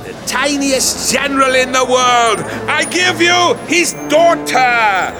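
An actor playing a boastful male freak-show ringmaster who barks out his acts in a grand, theatrical manner. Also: slow. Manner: booming, showy barker. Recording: loud crowd and music behind.

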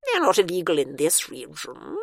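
A calm, officious male warden, as a woman reader puts him on, measured and bureaucratic. His voice sits low yet moves with life, and the sound is dry. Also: creaky, dry recording, heavily acted. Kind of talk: audiobook character voice.

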